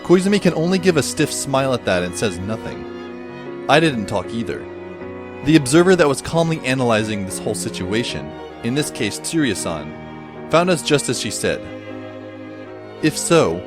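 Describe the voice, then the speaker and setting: Wry, introspective schoolboy narrator, played by a man, audio drama, measured yet melodically varied, faint music behind. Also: medium pitch, lightly acted. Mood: wry, puzzled. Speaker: narrator and lead character. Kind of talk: first-person scripted audio drama narration.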